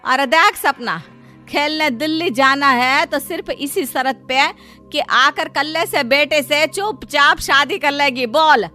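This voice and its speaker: A woman playing a stubborn, scolding village mother in an animated moral story. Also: high voice, unhurried, soft background music.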